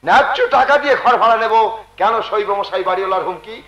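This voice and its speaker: A man's voice: sits high, quick pace, dull audio, crowd noise, lightly acted. A man playing a proud, hot-tempered rickshaw puller in folk opera, declaiming defiantly and repeating phrases for emphasis. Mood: defiant, angry. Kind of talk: Bengali folk opera stage acting. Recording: echoey stage sound.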